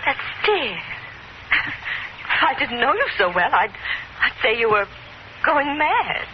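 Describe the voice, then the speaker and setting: A devoted, nervous wife in a vintage radio chiller. Her high voice moves animatedly, fretful and hesitant. The recording is muffled and heavily noisy. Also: sing-song, slow, full character voice. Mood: anxious. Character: anxious, devoted wife of a troubled man. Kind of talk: 1950s radio drama acting.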